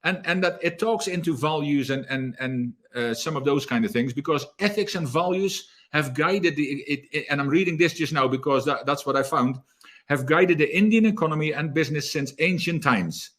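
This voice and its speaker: An energetic male speaker giving a keynote on leadership, his voice sitting a little higher than most men's. He talks with drive and often restarts phrases, doubling a word before carrying on. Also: moderate pace. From South Africa. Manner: energetic, repeats words while thinking. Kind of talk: online keynote talk.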